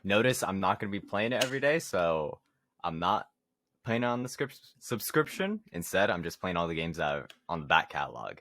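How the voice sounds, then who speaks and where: A man's voice: low-pitched, very animated, brisk, a bit bright. A young man co-hosting a podcast, chatting casually about himself in slangy, self-mocking gamer talk. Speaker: podcast co-host.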